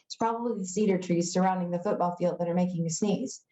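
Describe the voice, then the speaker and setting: A woman's voice: on the low side, fast, dark tone, reverberant, lightly acted. A woman reading the part of a cool, reluctant middle-school boy schemer in a storybook, terse and understated. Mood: dismissive, firm.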